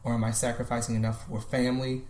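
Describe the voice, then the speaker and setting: Brief: thirty-something man, guest, thoughtful and halting, mid-pitched voice, echoey room. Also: level tone, a little mellow. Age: 30s. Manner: thoughtful, restarts often. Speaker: podcast guest. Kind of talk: reflective casual conversation. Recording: roomy echo.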